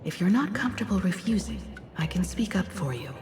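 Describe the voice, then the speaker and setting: A woman playing a composed, protective space train navigator in a video game, calm, unhurried and direct. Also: mid-range voice, lightly acted. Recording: long reverb, faint music behind.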